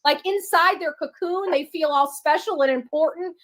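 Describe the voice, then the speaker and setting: A woman's voice: sits high, moderate pace, a bit bright. A woman chatting casually on a livestream in a brash, mocking way.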